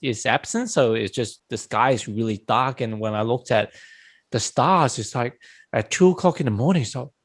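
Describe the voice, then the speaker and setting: A man's voice: mid-range voice, moderate pace, no room sound. Casual male host, dry close sound. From Hong Kong. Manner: casual, many "like" and "um" fillers. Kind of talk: casual interview conversation.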